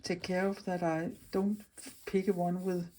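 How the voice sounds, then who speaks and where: A woman with a Danish accent chats through her craft plans in a friendly, unhurried way. Her voice sits a little low for a woman, and she often pauses and restarts as she searches for the right English word. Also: level tone, warm tone. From Denmark. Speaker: craft channel host. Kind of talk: casual craft vlog chat.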